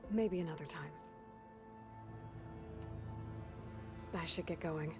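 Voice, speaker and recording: A determined young warrior woman voiced by an actress in an adventure game. Her voice sits slightly low, guarded and matter-of-fact, over clearly audible music. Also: a little fast, close to an everyday voice. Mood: dry, friendly. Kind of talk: video game acting. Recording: music under the voice.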